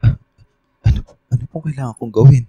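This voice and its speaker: An actor playing a fearful but determined young student who sees spirits, earnest and stammering, in a radio drama. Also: male voice, medium pitch, brisk, warm tone, slightly rough, no echo, highs cut off, lightly acted. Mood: fearful, hesitant.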